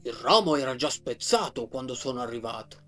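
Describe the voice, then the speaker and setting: A woman voicing a big, gruff, lonely male gorilla who speaks slowly and tersely, as if talking costs him effort. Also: animated, a bit bright, soft background music. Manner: slow, low, terse and grudging. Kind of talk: storybook character voice.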